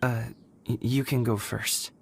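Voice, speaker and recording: A man's voice: mid-range voice, fairly even intonation, measured pace, vocal fry, a little hiss or hum. A young man voicing an earnest, uneasy son with a hesitant manner in a video game. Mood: uneasy, puzzled. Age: young adult. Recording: faint music behind.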